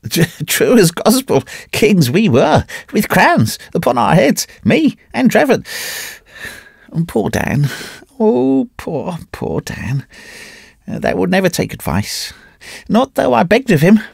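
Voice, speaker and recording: A man reading aloud gives voice to a shattered, ragged grey-haired wanderer, pitched well above the reader's own voice, whimpering and halting. The voice is gravelly and husky, swinging widely in pitch, with a dry, close sound. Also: huge pitch swings, no room sound, exaggerated voice. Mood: desperate. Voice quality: whining, whimpering. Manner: pleading, halting with "uh"s.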